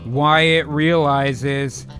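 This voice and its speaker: A man's voice: fairly high pitch, fairly even intonation, very slow, fairly bright tone, soft background music. A man hosting and narrating an improvised scene, slipping into irreverent, profane banter. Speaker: narrator and host.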